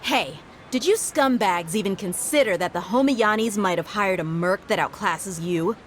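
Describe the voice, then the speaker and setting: A woman's voice: mid-range voice, moderate pace, noisy background, natural voice. Woman as a tough, brash mercenary bodyguard. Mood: scornful, confident. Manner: brash, assured, commanding. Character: tough, confident female mercenary bodyguard, protective and fearless. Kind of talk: English video game voice acting.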